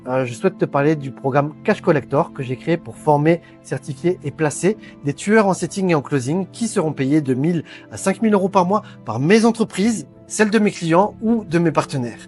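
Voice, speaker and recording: A middle-aged man giving an earnest sales pitch for a training program, talking straight to the listener and stumbling over frequent fillers. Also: darkish tone. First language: French. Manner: hesitant, many fillers, earnest persuading. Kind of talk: direct-to-camera sales pitch. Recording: faint background music.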